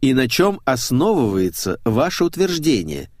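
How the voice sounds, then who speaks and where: A man's voice: medium pitch, sing-song, normal speed, a little mellow, no echo, clean studio sound, pitched above the performer's own voice, close to an everyday voice. A man voicing a wry, patient, mildly mocking male hero in an audiobook, speaking in a terse, ironic way. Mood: amused irony.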